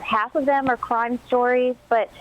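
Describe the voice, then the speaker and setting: A woman's voice: on the high side, steady tone, a little slow, phone-quality sound, a little hiss or hum. A woman, a playwright guest talking in a thoughtful, conversational way. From Los Angeles, USA. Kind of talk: interview conversation.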